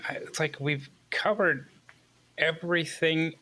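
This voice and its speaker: A man's voice: on the high side, measured pace, sharp tone, a little hiss or hum. A man hosting a casual, conversational interview. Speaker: interview host.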